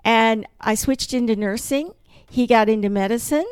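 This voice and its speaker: A middle-aged woman from Colombia, Spanish her first language, telling her life story as a podcast guest in an earnest, animated way. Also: a little high, moderate pace, clear, resonant tone, dry recording, clean studio sound. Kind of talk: personal testimony in an interview.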